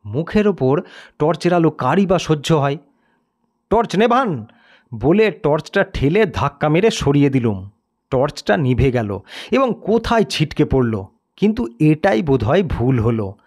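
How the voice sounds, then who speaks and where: A man's voice: fairly high pitch, big pitch swings, normal speed, a little mellow. A man narrating a scripted first-person story in a dramatic style.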